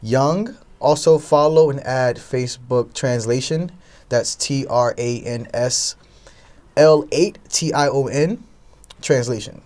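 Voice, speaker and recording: A man's voice: medium pitch, slow, darkish tone. A young adult man speaking conversationally as a guest answering interview questions. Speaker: talk show guest, entrepreneur.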